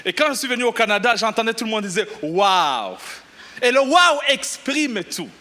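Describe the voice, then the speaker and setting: A middle-aged pastor from Burundi preaching a lively sermon, exclaiming and shouting at the peaks. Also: male voice, high voice, normal speed. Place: church.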